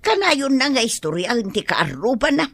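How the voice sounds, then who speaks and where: A woman's voice: fairly high pitch, sing-song, normal speed, no room sound. A woman with an Ilocano accent playing a friendly, coaxing neighbor in a radio drama.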